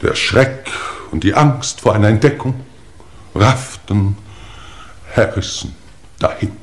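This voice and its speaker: An actor playing a dignified, pompous aging English lord in a 1950s German radio play, with stately, formal delivery. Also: male voice, fairly low pitch, slow pace. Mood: solemn.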